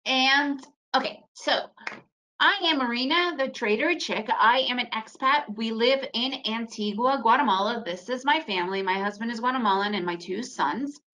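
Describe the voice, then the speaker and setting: A woman in her forties presents to an online audience in a chatty, storytelling way, often checking in with a quick "right?". Her voice sits a little high and sounds bright and forceful. She grew up in the United States and her first language is Russian. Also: poor audio. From Ukraine, raised in the United States. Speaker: trading webinar presenter. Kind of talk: casual presentation.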